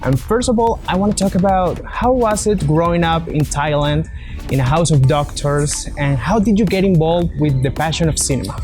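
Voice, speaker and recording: Guy hosting a podcast interview, fairly high voice, bit of music under it. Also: moderate pace, a little mellow. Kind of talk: conversational interview.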